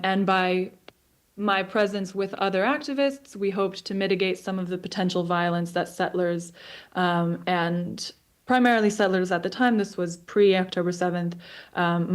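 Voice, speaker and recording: A young woman in her twenties speaks over a remote video link, her voice smooth and clear at an ordinary middle pitch. She talks in a considered way, with frequent ums and small restarts. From Israel, raised in the US. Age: 20s. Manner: thoughtful, many ums and restarts. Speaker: guest speaker. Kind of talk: personal talk over video call. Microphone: remote video call.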